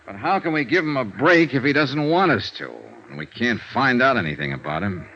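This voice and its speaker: An actor playing a wry, persistent insurance investigator in a vintage radio noir drama, clipped and probing. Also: male voice, medium pitch, very animated, fairly quick, pushed and bright, slight background noise. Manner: clipped expense-account narration, probing questioning. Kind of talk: 1950s radio noir acting, narration and dialogue. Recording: vintage radio recording, dull, music behind.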